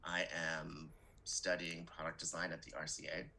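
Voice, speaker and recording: A man's voice: low-pitched, completely flat delivery, normal speed, gravelly, sharp tone, a little hiss or hum. A young man from America talking casually and emphatically in a group conversation, informal and talkative. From United States. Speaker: student participant in a group discussion.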